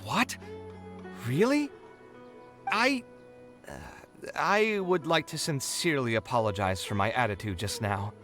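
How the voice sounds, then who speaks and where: A man's voice: medium pitch, expressive, unhurried, pauses often, a bit bright, faint music behind. A man voicing an idealistic, dramatic and easily flustered young architect in a video game, earnest and exasperated. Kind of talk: video game character acting.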